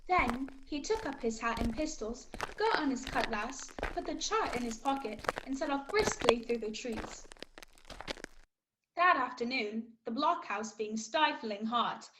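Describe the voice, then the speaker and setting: A woman playing a plucky boy adventurer who narrates his own tale in measured, literary style for a radio play. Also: a little high, lively intonation, noisy background. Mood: proud, earnest.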